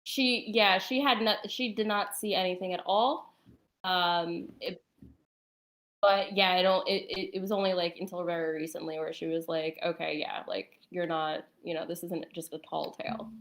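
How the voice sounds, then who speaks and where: Lower-pitched woman, halting storyteller. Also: fairly even intonation, a bit bright. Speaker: guest telling personal story. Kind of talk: casual conversational storytelling.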